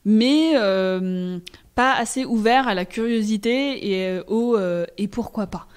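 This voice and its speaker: A woman's voice: mid-range voice, a little slow, a little mellow, clean tone. Candid young Frenchwoman, searching for words. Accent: French from France. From France. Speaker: interview guest. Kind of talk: casual conversational interview, personal account.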